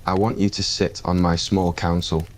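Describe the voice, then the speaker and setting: A man playing a confident, teasing young king in an ASMR audio story. His voice is very deep and fairly flat, and he speaks low, smug and relaxed. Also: moderate pace, lightly acted.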